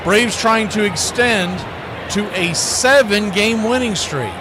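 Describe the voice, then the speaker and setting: A man's voice: high-pitched, takes it slowly, background noise. A man doing live radio-style baseball play-by-play in clipped, punchy phrases with folksy slang.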